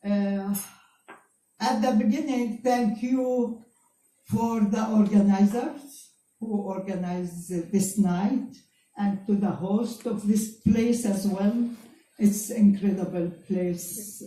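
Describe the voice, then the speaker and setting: A Palestinian woman in her seventies whose first language is Arabic, speaking careful, halting English as a guest speaker to an audience. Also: medium pitch, fairly even intonation, warm tone. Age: 70s. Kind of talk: public talk to an audience. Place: event space before an audience. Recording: roomy hall, speaker far from mic.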